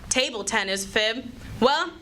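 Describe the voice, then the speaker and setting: A woman's voice: a little high, lively intonation, unhurried. A woman playing a breezy, pushy, wisecracking sister in old-time radio comedy, with chummy, slangy patter. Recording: live stage recording, faint noise.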